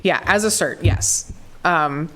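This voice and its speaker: A woman's voice: fairly low pitch, slow pace, sharp tone. A woman on a community panel, answering audience questions about volunteer teams in a casual way, with many fillers. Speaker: preparedness team coordinator.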